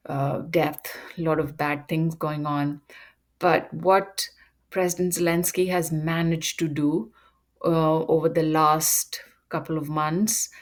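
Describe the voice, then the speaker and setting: A woman giving an unscripted talk to her audience, measured, with frequent ums and uhs. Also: deep voice. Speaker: educator presenting a topic. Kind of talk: unscripted lecture monologue.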